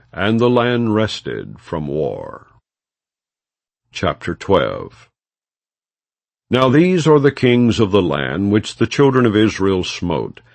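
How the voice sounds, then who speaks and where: A man with a low voice narrates scripture as an audiobook. His phrasing is measured and solemn, yet his intonation moves widely within a plain, non-dramatized reading. The sound is dry and free of echo. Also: gravelly.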